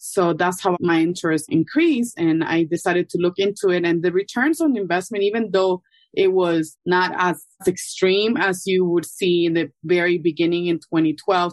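A woman from Ecuador, a guest calmly explaining her background in an interview. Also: on the low side, no room sound. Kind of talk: casual interview answers.

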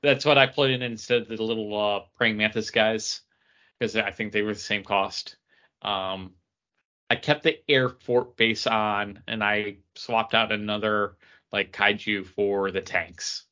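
A man co-hosting a podcast, chatting haltingly about a mobile game and cracking dry jokes. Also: lowish voice, steady tone, bright, forceful tone, dull audio. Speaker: podcast co-host.

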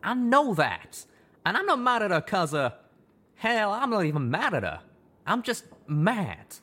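A hot-tempered young pegasus bellows and rants in a country drawl. His voice sits high above the reader's own and swings widely as he shouts. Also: big pitch swings, unhurried, heavily acted. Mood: angry shouting. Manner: yelling, ranting.

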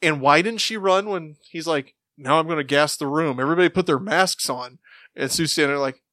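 A man's voice: a little high, brisk, pushed and bright, no echo, studio quality. A man co-hosting a podcast, reviewing in a casual, conversational style. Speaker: podcast co-host.

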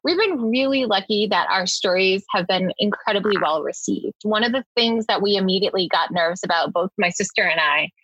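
A woman whose family comes from Hyderabad, answering interview questions about her children's books in a quick, chatty way with many fillers. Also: medium pitch, a bit bright, slightly rough, no echo, lo-fi sound. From family from Hyderabad, India. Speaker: children's book publisher.

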